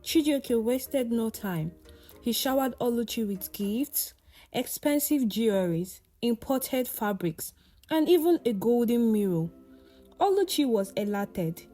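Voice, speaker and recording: A woman narrates a folktale in a scripted storytelling style. Her pace is unhurried and her tone soft-edged and dark, at a middling pitch. Faint music plays behind her. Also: lively intonation.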